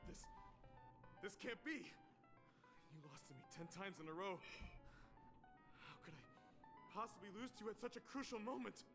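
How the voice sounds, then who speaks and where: A man's voice: high voice, expressive, normal speed, pauses often, music in the background. A man playing a smug, mocking gambler in an anime game, theatrical and taunting. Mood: shocked disbelief.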